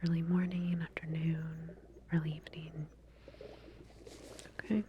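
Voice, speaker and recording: A woman whispering softly and breathily in an ASMR roleplay, speaking to the listener as a calm, reassuring, professional researcher. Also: sits low, a little slow, muffled recording, noisy background. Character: calm, attentive female scientist running a medical experiment.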